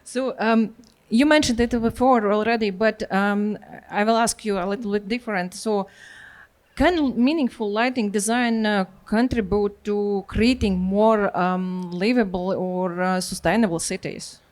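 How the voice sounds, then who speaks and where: A woman whose first language is Latvian interviewing a guest on stage in English, pausing often with fillers. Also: mid-range voice, a little mellow. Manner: hesitant, many fillers, careful non-native phrasing. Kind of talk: conference interview questions.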